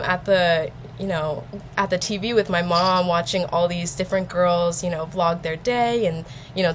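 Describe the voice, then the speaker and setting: A woman's voice: on the low side, slight background noise. A young adult woman chatting casually in a nervous, hesitant, rambling way. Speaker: vlogger and interviewee. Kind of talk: casual chat.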